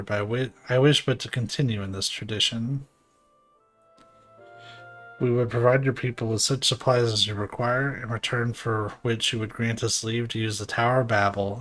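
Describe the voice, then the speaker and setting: A man voicing a courteous, dignified ruler in a video game, with formal, archaic, measured diction. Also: lowish voice, fairly bright tone, lightly acted.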